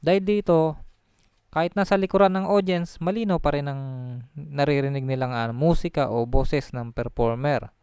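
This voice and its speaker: A man's voice: a little high, steady tone, moderate pace, a little mellow, smooth, dry recording, clean studio sound. A man talking through a casual explainer, chatty, full of fillers and restarts, dropping his voice on tag phrases. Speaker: channel host explaining audio gear. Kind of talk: casual explainer, voice-over on slides.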